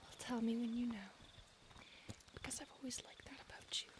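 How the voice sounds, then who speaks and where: A woman's voice: on the high side, moderate pace, natural voice. A woman whispering as an ancient vampire stalker. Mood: menacing whisper. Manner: mostly whispered, close and intimate. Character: ancient predatory female vampire stalker, possessive. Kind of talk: ASMR audio roleplay.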